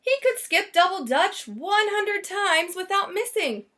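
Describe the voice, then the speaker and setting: A woman's voice: very high voice, expressive, a little slow, bright, forceful tone, a little hiss or hum. A woman reading a children's story aloud expressively, stretching and stressing words for drama. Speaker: storybook reader.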